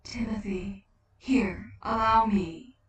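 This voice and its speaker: Woman voicing a slow, gentle, sorrowful spirit of Death. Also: medium pitch, very echoey, recorded from a distance, close to an everyday voice. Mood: gentle, grave. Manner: soft, slow, soothing.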